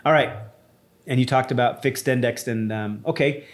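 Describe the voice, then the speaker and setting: A man co-hosting an insurance chat, eager and upbeat, steering the conversation from topic to topic. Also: medium pitch. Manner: quick, enthusiastic, steers the topics. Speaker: insurance broker co-host.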